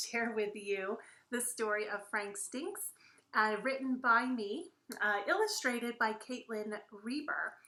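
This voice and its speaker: A woman reading a picture book aloud to children in an expressive way, speaking to her young listeners directly. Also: on the high side. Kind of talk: animated children's story read-aloud. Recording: roomy echo.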